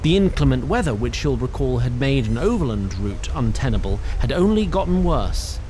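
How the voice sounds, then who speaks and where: Male narrator, very lively pitch, wry, scripted audio drama, light background hiss. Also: normal speed. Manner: wry, expressive.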